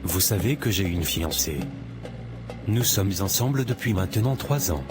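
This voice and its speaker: Man doing a worried young guy, very low voice, earnest, cartoon. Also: animated, moderate pace, music in the background. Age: young adult. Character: troubled young man, law student.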